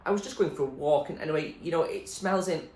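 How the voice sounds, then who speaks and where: A man reading aloud who voices a wary, quick teenage girl who stammers when flustered, in an echoey room. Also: fairly high pitch, darkish tone, pitched above the performer's own voice, close to an everyday voice. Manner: hurried, stammering. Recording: echoey room, faint noise.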